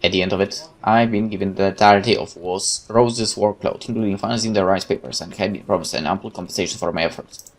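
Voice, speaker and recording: Man, gaming host, low voice, dramatic read-aloud narration, casual commentary. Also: fast.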